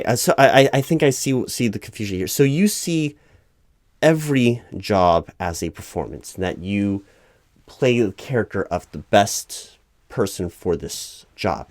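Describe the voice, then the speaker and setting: A man playing a polite, flustered, bureaucratic recruiting manager in a spoken interview roleplay, haltingly repeating himself and over-explaining. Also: low-pitched, darkish tone, no echo. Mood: puzzled, explaining. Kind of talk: one-sided ASMR interview roleplay, spoken aloud without whispering.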